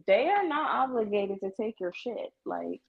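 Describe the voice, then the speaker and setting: A woman chats candidly as a salon client and speaks bluntly, with plenty of slang. Her voice sits mid-pitched. The recording sounds slightly dull and lo-fi. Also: big pitch swings, normal speed, creaky, slightly rough. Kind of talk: casual chat.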